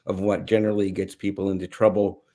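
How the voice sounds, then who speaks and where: A man's voice: sits low, level tone, quick pace, mellow. A middle-aged man with an American accent, talking off the cuff as a panelist. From United States. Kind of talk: unscripted panel discussion. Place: home office on a video call.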